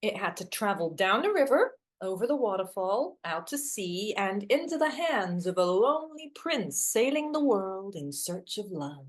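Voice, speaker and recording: An actress playing an awkward, literal-minded young woman in a play reading, a hopeless romantic with a hesitant, wry deadpan manner. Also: medium pitch, normal speed, few pauses, close to an everyday voice. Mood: wistful, self-mocking. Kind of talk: two-hander stage play reading.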